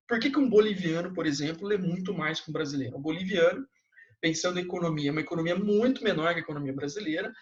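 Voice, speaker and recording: A male history teacher giving a casual lecture to students, using slang and often checking in with tag questions. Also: sits high. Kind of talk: recorded classroom lecture. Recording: dull lo-fi sound.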